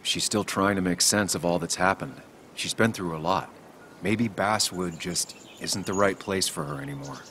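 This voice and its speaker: Gentle, low-voiced consoling man. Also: gravelly, a little hiss or hum, heavily acted. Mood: calm, concerned. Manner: measured, reassuring, sympathetic. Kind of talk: dramatic video game acting.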